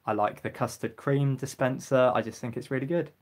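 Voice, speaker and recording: A young man hosting a casual live stream, reading out viewer comments and answering them with frequent ums, his throat getting sore so that he clears it and coughs now and then. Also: medium pitch, steady tone, fairly quick.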